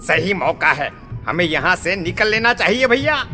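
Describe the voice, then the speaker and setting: A jittery village farmer, acted by a man in a horror story, excitable and stammering. The pitch is highish and swoops around, with long reverb and music. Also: moderate pace, exaggerated voice. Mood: nervous fear. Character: jittery, easily frightened village farmer friend. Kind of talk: Hindi horror audio story acting.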